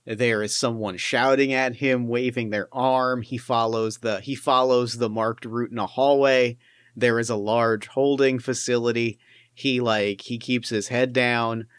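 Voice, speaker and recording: A man running a solo tabletop game, narrating his pilot's story in a reflective, halting way. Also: mid-range voice, fairly even intonation, fairly bright tone, smooth, no echo, studio quality. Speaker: game master.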